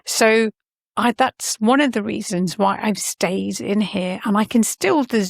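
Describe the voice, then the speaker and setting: A woman's voice: mid-range voice, unhurried, gravelly, no room sound, studio quality. A middle-aged woman speaking as an interview guest, thoughtful and earnest, often restarting her sentences as she reflects. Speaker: podcast guest, science and healthcare leader.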